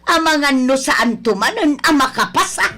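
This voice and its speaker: A woman's voice: high-pitched, expressive, moderate pace, bright, forceful tone, soft background music. A woman playing a nagging, disapproving older mother of a grown son in an Ilocano radio drama, scolding and complaining. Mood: grumbling.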